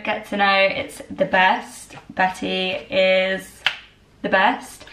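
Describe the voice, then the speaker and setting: A young woman in her twenties, tired and a little croaky, chatting in a bubbly way to her camera while she bakes in her home kitchen. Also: fairly high pitch, a bit bright, slight background noise. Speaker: vlogger. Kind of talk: casual chat. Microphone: far camera. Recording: echoey kitchen from far off.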